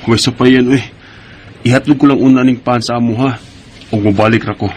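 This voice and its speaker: An actor playing a helpful, protective family friend in a Filipino radio drama, with a Bisaya accent. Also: male voice, mid-range voice, steady tone, a little fast, darkish tone, slight background noise, lightly acted. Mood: easygoing.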